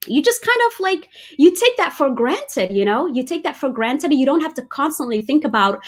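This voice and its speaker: A young adult woman from Somalia who grew up in the United States and has a slightly Kenyan-tinged Somali-American accent. She hosts a talk show and speaks in an earnest, reflective way, often pausing to correct herself. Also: high-pitched, big pitch swings. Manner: earnest, thoughtful, with frequent self-corrections. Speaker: host.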